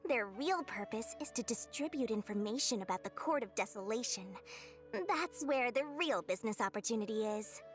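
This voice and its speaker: An actress playing a shrewd, playful, money-minded merchant in a video game, with a teasing, sing-song manner. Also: female voice, a little high, lively intonation, normal speed, soft background music, close to an everyday voice. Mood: playful, explaining. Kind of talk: video game character dialogue.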